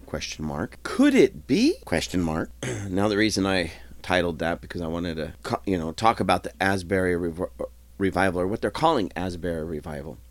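A man hosting his own podcast, nasal and stuffed up from a cold, talking in a rambling, folksy way and often clearing his throat. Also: deep voice, very animated, normal speed, no room sound. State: congested, nasal. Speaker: podcast host. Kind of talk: casual solo podcast talk.

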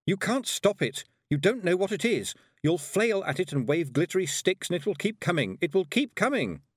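An earnest young witch girl from a storybook, blunt and determined voiced by a male narrator. Her raised voice is lively and emphatic, pressing each point. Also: a little high, unhurried, breathy edge, no room sound, clean studio sound, voice pushed up, full character voice. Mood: shouted warning. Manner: insistent, emphatic.

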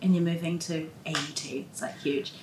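A woman's voice: sits low, steady tone, moderate pace, reverberant, noisy background. A woman co-hosting a podcast, asking questions in a chatty, encouraging way. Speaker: podcast co-host. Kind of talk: casual interview questions.

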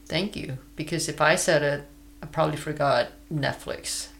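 A podcast co-host chatting casually, easygoing and unhurried, laughing often. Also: medium pitch, fairly even intonation, vocal fry, fairly bright tone. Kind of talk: casual chat.

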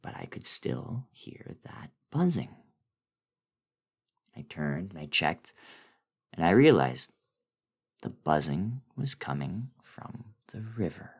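Deep-voiced man dramatically reading horror. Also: sing-song, slightly rough. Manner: theatrical, suspenseful, with dramatic pauses. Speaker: guest reader of a horror story. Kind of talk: dramatic first-person horror story reading.

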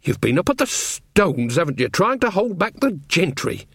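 A man voicing an earthy old woman witch in a storybook, colloquial, brisk and motherly in her scolding. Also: on the high side, very animated, gravelly, husky, dry recording, studio quality, pitched above the performer's own voice, full character voice. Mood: concerned.

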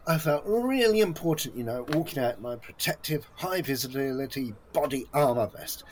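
An actor in a comic audio play voicing a hapless, self-important ex-community police officer who rambles, overshares and boasts chummily at a job interview. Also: male voice, medium pitch, lively intonation, normal speed, a little hiss or hum, natural voice. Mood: proudly boastful.

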